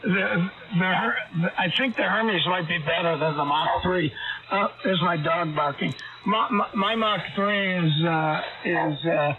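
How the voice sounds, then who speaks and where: Raspy man on a tinny radio link. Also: high voice. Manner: rambling, hesitant with many fillers. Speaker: ham radio operator. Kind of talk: casual radio chat. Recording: tinny radio transmission with static.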